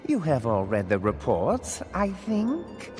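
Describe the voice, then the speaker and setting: A man's voice: medium pitch, huge pitch swings, slow pace, a little mellow, long reverb tail, soft background music. A man voicing a scheming, condescending wealthy merchant lord in a game cutscene, drawling and theatrical. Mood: contemptuous.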